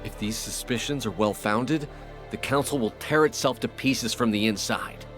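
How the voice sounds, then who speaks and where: A man's voice: medium pitch, normal speed, music in the background, lightly acted. A man playing a sober, worried investigator in a fantasy game, grave and matter-of-fact. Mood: grave worry.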